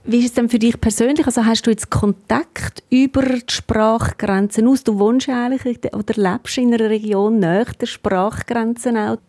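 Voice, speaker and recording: A woman's voice: fairly high pitch, fairly even intonation, moderate pace, hardly any pauses, a little mellow. A woman with a Swiss German accent interviewing a singer in a basement rehearsal room, friendly, with follow-up questions. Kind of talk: radio interview.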